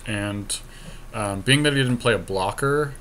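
A man with a low, bright voice talks casually through his moves in a card game. He thinks aloud and swears mildly now and then, with clear noise behind him. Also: unhurried. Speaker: podcast co-host playing a card game.

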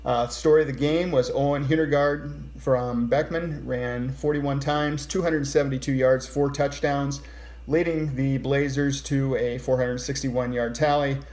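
A middle-aged man with a mid-pitched voice files a sports report. He recaps a game informally, speaking into a device close by. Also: fairly even intonation, a little slow, a little mellow.

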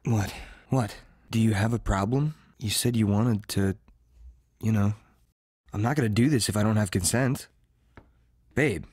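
A man's voice: lowish voice, unhurried, a little mellow, breathy edge, dry recording. Cocky, rambling young man, acted, close and dry. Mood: dim, cocky. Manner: casual, profane, rambling. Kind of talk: audio drama acting.

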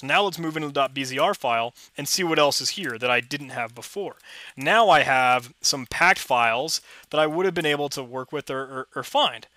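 A man's voice: medium pitch, bright, forceful tone, dry recording. A young man on a headset, casually walking through a coding challenge in a chatty, enthusiastic, rambling way. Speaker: tech tutorial host. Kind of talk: casual screen-recorded walkthrough.

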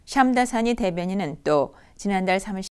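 A woman's voice: mid-range voice, expressive, normal speed, a little mellow, smooth. A woman news anchor reading scripted news.

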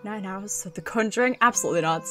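British girl streaming, just chatting away, sweary and expressive, bit of music in the background. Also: mid-range voice, fast talker. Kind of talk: casual livestream chat.

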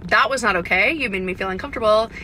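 A woman's voice: mid-range voice, very fast, pushed and bright. A young woman from Canada telling an indignant, sweary first-person story into a phone inside a car. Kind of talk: agitated first-person social media story. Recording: faintly noisy phone clip.

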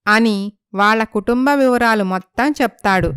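A woman's voice: on the high side, normal speed, fairly bright tone, clean tone, no room sound, clean studio sound. A woman reading scripted narration for a story.

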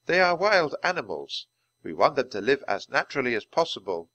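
Serious panda keeper, measured and deadpan, lively pitch, a narrator putting him on. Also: male voice, no echo. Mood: calm. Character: calm, serious adult male panda keeper.

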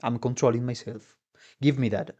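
A man's voice: medium pitch, steady tone, moderate pace. A young man giving casual, sweary reaction commentary at home as a musician, full of exclamations. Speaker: musician reacting to game trailers. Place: small room at home. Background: game music.

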